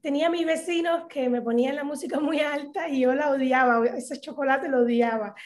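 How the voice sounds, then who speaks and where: Cuban woman, 30s, high-pitched, chatty and halting, interview guest on a video call. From Cuba. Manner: chatty, halting with many fillers and restarts. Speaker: interview guest, social media comedian. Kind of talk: casual interview talk.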